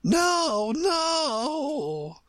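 A man voicing an imperious, brisk, bossy yet kindly chess Queen from a storybook, in a shrill, swooping voice with drawn-out, emphatic delivery. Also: wildly swooping pitch, dry recording, exaggerated voice. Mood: urgent, commanding. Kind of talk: storybook character voice.

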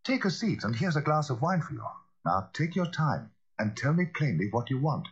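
A brisk, proper gentleman lawyer of a storybook, put on by a male reader. He questions formally and with concern, in a raised, very animated, slightly husky voice. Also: on the high side, pitched above the performer's own voice, exaggerated voice. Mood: coaxing, concerned. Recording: slightly dull, lo-fi audio.

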